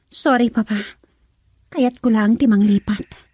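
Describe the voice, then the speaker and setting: A woman's voice: fairly high pitch, a little slow, a little mellow, breathy edge. A woman acting a heartbroken young daughter in an Ilocano radio drama, with an Ilocano accent. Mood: sad, resigned.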